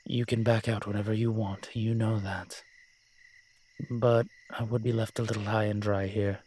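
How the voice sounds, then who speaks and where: A man's voice: lowish voice, little pitch movement, moderate pace, a little mellow, close to an everyday voice. A man playing a roguish incubus demon in a roleplay audio drama, talking in a sly, teasing, conversational way. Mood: reluctant, persuading. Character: smooth-talking roguish male incubus demon, playful and scheming.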